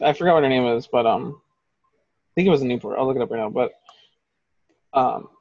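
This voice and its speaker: A man's voice: fairly high pitch, gravelly, darkish tone, no echo, dull audio. A man co-hosting a podcast in casual chat, talking in a heated, profane, rambling way. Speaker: podcast co-host.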